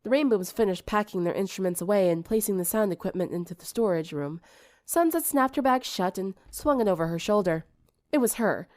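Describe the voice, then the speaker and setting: Casting: woman audiobook reader, narrating fiction, medium pitch with expressive rises and falls. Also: brisk. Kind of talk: scripted story narration.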